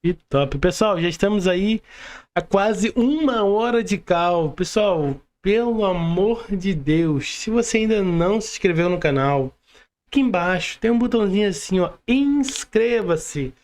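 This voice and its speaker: A Brazilian man with a high-pitched voice hosts a casual podcast over a call. He is effusive and informal, often saying things twice for emphasis. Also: expressive, unhurried, a little mellow. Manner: effusive, informal, repeats himself. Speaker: podcast host. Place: remote video call.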